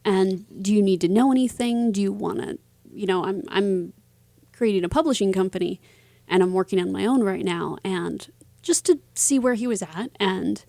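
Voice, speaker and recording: Woman getting interviewed, chatty and casual, mid pitch, lots of vocal fry. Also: moderate pace, mellow, dry recording. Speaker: interview guest, author and publisher. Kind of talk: casual conversational interview answers.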